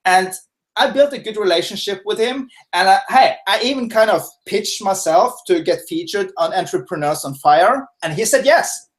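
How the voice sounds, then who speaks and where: A man's voice: high-pitched, animated, normal speed. Chatty man in a home office. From Norway. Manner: casual, chatty, lots of fillers and restarts. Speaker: guest expert. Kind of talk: webinar interview answers.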